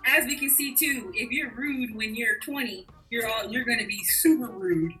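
A woman's voice: fairly high pitch, moderate pace, sharp tone, soft background music. A woman in her forties chatting as co-host, laughing often and telling stories with animation. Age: 40s. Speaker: podcast co-host. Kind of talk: casual chat recapping a TV episode.

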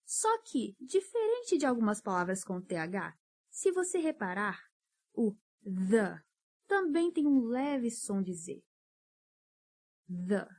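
Woman teacher, encouraging, slow drills, lively pitch. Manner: patient, encouraging, drills words slowly. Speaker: English teacher. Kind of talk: podcast pronunciation lesson.

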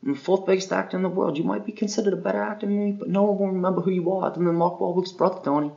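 A man's voice: high voice, fairly even intonation, very fast, creaky, mellow, muffled recording. A man playing an exasperated, boastful famous actor on a Bigfoot hunt, complaining in a whiny, incredulous way in improvised comedy. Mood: irritated. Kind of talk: improvised comedy character monologue and banter.

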